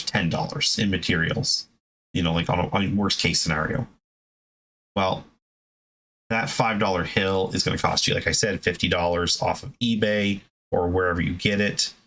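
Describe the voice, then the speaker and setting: Man, deep voice, hobby video host, casual off-the-cuff explainer, conversational, halting delivery. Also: fairly bright tone, low-quality recording.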